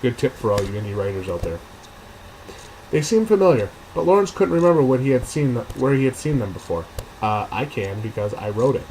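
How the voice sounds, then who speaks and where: A man's voice: medium pitch, expressive, brisk, a little mellow, a little hiss or hum, poor audio. A man reading fiction aloud as its author, with casual asides as he thinks aloud about edits. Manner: thinks aloud, rereading and revising sentences. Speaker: author reading own novel draft and commenting on edits.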